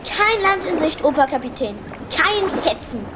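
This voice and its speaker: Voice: sits high, lively intonation, unhurried. A young performer playing an eager, adventurous child helmsman in a children's radio play, giving earnest lookout reports. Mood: matter-of-fact. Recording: loud boat and water noise behind.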